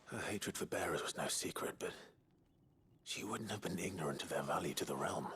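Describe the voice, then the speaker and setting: Grim resolute swordsman, very deep voice, hushed and restrained, noisy background. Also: brisk. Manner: low, quiet, often whispered, restrained. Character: brooding young male swordsman, grim and resolute. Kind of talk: video game cutscene acting.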